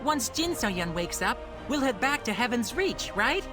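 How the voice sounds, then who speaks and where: A cheerful eager apprentice girl from a game, highish and super bouncy. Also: sing-song, unhurried. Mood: chirpy. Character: plucky, cheerful young female apprentice. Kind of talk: video game cutscene voice acting. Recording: music clearly behind the voice.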